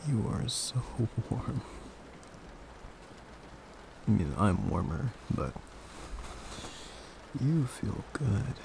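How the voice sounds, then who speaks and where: Slow, gravelly, low-voiced ASMR boyfriend. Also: male voice, very animated. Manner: soft, murmured, gently teasing and comforting. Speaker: ASMR roleplay performer. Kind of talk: ASMR boyfriend roleplay, intimate and soothing. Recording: close recording with clear background noise.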